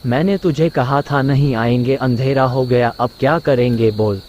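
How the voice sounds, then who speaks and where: A man's voice: medium pitch, normal speed, muffled recording, slight background noise, lightly acted. A man voicing a timid, anxious young villager who frets and complains in a cartoon story. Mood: fretful. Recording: loud music behind the voice.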